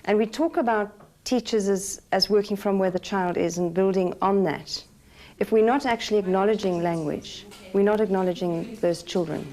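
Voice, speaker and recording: A woman's voice: mid-range voice, moderate pace, warm tone. A woman educationist speaking earnestly and reflectively in a documentary interview.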